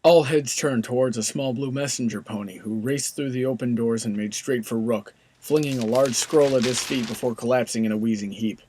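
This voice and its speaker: A man's voice: medium pitch, normal speed, hardly any pauses, warm tone, slight background noise, rough recording. A man narrating a fan fiction story in a scripted storybook style.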